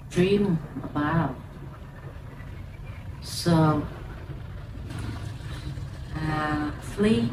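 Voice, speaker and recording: Vietnamese lady chatting in slow broken english, low voice, lots of uh and um, echoey room, mic kinda far off. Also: noisy background. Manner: halting non-native English, many fillers. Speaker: lighter collector vlogger. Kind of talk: casual chat to camera. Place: at home.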